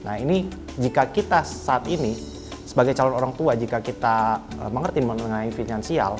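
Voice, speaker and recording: A man's voice: medium pitch, moderate pace, music in the background. A man in his mid twenties giving earnest, formally phrased opinions in an interview. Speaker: business development officer being interviewed. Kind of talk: interview answers, reflective opinion.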